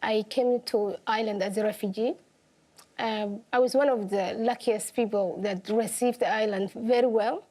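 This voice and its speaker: A woman's voice: fairly high pitch, fairly even intonation, normal speed, darkish tone. A young woman from Somalia, speaking earnestly as an interview guest. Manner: earnest, simple phrasing, laughs easily. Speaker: guest, activist. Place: television studio.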